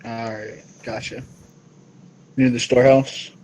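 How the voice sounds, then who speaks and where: A man's voice: medium pitch, level tone, slow, a little mellow, slight background noise, low-quality recording. A man playing a tabletop game over a voice call, chatting casually in slangy, laid-back wording. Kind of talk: casual chat while playing. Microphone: online voice call.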